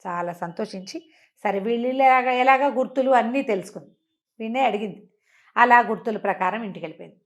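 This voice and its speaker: A woman's voice: fairly high pitch, brisk, dull audio. A woman telling a bedtime folktale as a storyteller, in a conversational way with phrases repeated for effect. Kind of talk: oral bedtime folktale narration.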